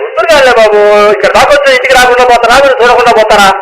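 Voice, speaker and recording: High-voiced, devoted household servant. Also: male voice, rapid-fire, very noisy. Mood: coaxing. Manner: coaxing, deferential, rustic colloquial talk. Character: devoted, simple male servant, coaxing and fussing. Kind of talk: radio play acting.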